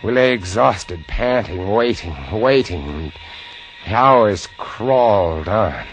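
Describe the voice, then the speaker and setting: A man playing a haunted, desperate lighthouse keeper, narrating his ordeal with intense, deliberate dramatic pauses in a vintage 1950s radio drama recording. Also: fairly low pitch, animated, poor audio. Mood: intense, horrified.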